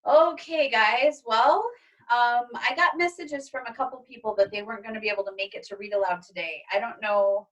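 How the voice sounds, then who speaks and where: A woman's voice: on the high side, a little fast, fairly bright tone, highs cut off. A woman teacher hosting an online class read-aloud, talking casually to her group over a video call. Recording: distant microphone on video call.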